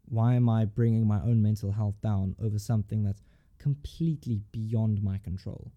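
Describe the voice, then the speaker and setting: A young man with a South African accent, a university student chatting casually with emphatic, animated energy and casual swearing. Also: fairly low pitch, normal speed, muffled recording. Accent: South African English. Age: young adult. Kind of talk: casual chat.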